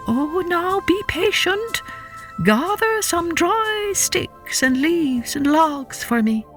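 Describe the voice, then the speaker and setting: A woman voicing a small, humble old grandmother spider with a weak, frail voice and a slow, quietly firm manner, in a children's story. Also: very high-pitched, sing-song, soft background music, voice pushed up, exaggerated voice. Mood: patient. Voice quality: weak, frail, elderly-sounding.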